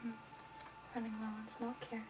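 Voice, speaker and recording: A woman doing an ASMR shopkeeper roleplay in a soft, breathy, whispered voice, gently instructing. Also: a little high, flat delivery, normal speed, noisy background. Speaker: ASMR performer playing a crystal shop keeper.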